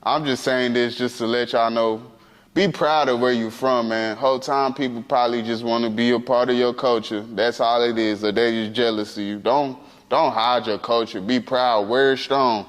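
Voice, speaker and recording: A man's voice: mid-range voice, steady tone, normal speed. Laid-back man in a reverberant space. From United States. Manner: laid-back, laughing storyteller. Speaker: interviewee. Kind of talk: casual storytelling.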